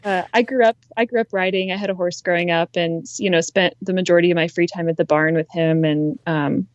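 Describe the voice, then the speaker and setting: A young woman from Ohio, a conservationist, talking in a relaxed, reflective way as a guest in an interview. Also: fairly low pitch, fairly quick, no echo, dull audio. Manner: relaxed, reflective, frequent ums. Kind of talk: casual interview conversation.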